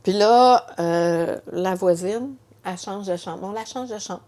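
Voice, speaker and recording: A woman's voice: mid-range voice, unhurried, darkish tone. A middle-aged woman speaks with a Quebec French accent. She tells a personal story in conversation, stopping often and repeating words, with many fillers between her phrases. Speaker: mother telling her story. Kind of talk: emotional personal testimony in conversation.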